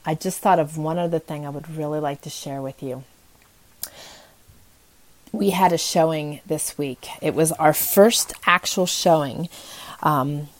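A woman's voice: low-pitched, darkish tone. A woman hosting a podcast in a casual, motivational style, speaking earnestly and encouragingly. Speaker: podcast host.

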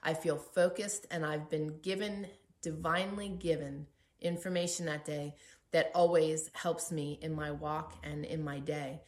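A woman hosting a faith podcast, speaking in an earnest, emphatic way with deliberate repetition as she gives personal testimony and encouragement. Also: low voice, steady tone, darkish tone. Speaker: podcast host. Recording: roomy, echoey sound.

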